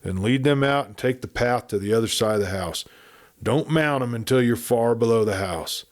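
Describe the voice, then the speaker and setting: A man's voice: medium pitch, normal speed, close to an everyday voice. A man voicing a bold young outlaw who talks in an easy, teasing way. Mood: low, urgent.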